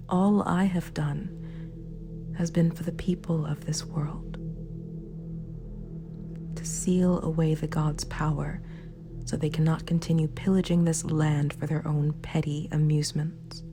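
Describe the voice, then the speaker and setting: A woman playing a remorseful, sympathetic villain ruler in a scripted ASMR roleplay, speaking in a hushed, breathy, formal and measured way. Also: low-pitched. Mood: bitter, resolute. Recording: clear background music. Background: dark, spooky background music.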